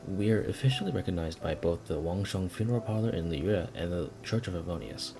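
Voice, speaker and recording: Man reading as a low-voiced, proud tombstone carver. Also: lively intonation, brisk, creaky, over music. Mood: proud, businesslike. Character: matter-of-fact tombstone carver, proud tradesman, dryly threatening.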